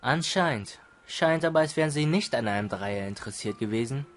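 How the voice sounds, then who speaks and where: A man's voice: medium pitch, lively intonation, brisk, natural voice. A man playing a smug, grumpy older teenage boy in an audio drama, with a curt, teasing, dismissive manner. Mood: mocking. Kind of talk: fan audio drama dialogue in German. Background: music.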